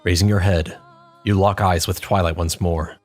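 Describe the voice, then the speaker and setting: A man's voice: low voice, steady tone, moderate pace, faint music behind. A man narrating a scripted story in the second person for an audio drama.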